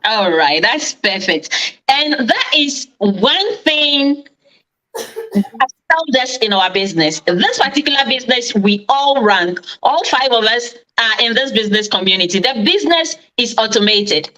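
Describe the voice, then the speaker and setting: A woman with a high, bright voice hosts a live online chat over a video call. She sounds upbeat and keeps inviting viewers to take part. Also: animated, unhurried, rough recording. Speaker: host.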